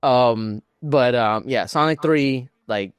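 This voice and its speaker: A man's voice: medium pitch, slow pace, clean tone, dry recording. A man co-hosting a podcast in casual panel chat, rambling along with enthusiasm. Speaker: podcast co-host.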